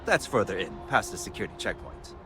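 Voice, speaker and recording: A man's voice: low voice, very animated, quick pace, music in the background. A man playing a friendly, easygoing spaceport technician in a video game, informal and helpful as he talks. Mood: helpful, businesslike. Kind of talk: scripted video game dialogue.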